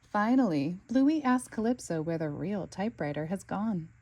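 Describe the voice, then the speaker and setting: A woman reading a children's storybook aloud with expressive narration. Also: mid-range voice, normal speed. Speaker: storybook reader.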